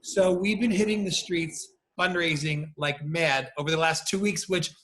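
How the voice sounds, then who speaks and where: A middle-aged American man, a salon owner, talking in an animated, emphatic way as an interview guest over a video call. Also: a little high, moderate pace, slight background noise. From United States. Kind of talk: casual interview chat.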